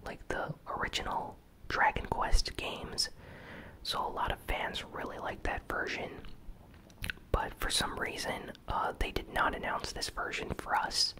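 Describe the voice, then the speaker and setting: A host whispering an ASMR news recap in a hushed, unhurried way, entirely without voicing. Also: low-quality recording. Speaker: host of a gaming news channel.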